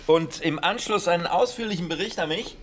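An actor playing a talkative, defensive wartime British intelligence officer in a German radio drama, speaking conversationally and emphatically. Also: male voice, sits high, brisk, background noise, natural voice. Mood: animated, confiding. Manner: conversational, emphatic, with hesitations and scoffing.

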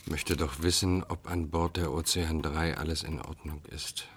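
A man's voice: very deep voice, fairly even intonation, moderate pace, a little hiss or hum. An actor playing an orderly bourgeois father in a radio drama, formal and matter-of-fact. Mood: formal, composed. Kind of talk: German radio drama acting.

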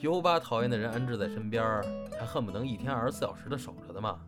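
A man playing a steady, teasing and protective young stage partner in an audio drama, talking casually. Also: mid-range voice, fast talker, close to an everyday voice. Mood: casual, puzzled. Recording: guitar music behind the voice. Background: guitar music.

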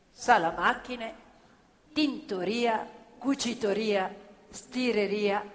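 An actress playing a wondering working woman newly arrived in a vast factory, speaking in short exclamatory phrases in radio theatre. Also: medium pitch. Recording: reverberant hall, live stage performance.

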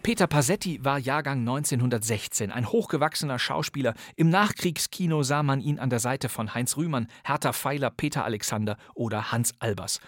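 An enthusiastic middle-aged man presents old radio crime dramas in a scripted, knowing podcast narration. His voice is mid-pitched and sits close to the mic. The studio sound is dry and dead. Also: fairly quick, few pauses, no echo. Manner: enthusiastic, knowledgeable storyteller.

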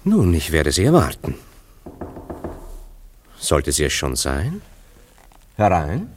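An actor in a German radio play voicing a wry, teasing confidant with an amused, ironic, theatrical delivery. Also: male voice, extremely low pitch, wildly swooping pitch, slow, slight background noise, full character voice. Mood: expectant. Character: wry, teasing male confidant of a romantic friend.

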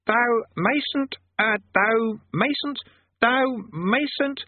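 A man putting on a high, fussy little demonologist. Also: drawn-out words, exaggerated voice. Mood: pompous. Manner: pompous archaic commands, then pleading and flustered. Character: small fussy eager male amateur demonologist. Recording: tinny phone-like dry sound.

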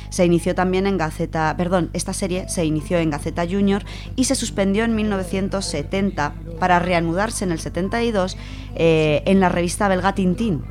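Low-voiced woman from radio, Spain Spanish accent. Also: a little mellow. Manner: colloquial, familiar asides to listeners. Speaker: radio programme presenter of a comics segment. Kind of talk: informal talk from notes, biographical radio segment. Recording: music playing behind the voice. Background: music.

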